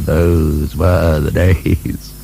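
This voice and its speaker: An actor playing an embittered old marquis in a radio drama, sardonic and theatrical, his gravelly, breathy voice often dropping to whispers. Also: extremely low pitch, little pitch movement, drawn-out words, heavily acted. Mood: sardonic, bitter. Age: older. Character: aging, embittered, feverish male libertine marquis. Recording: soft recording with background hum.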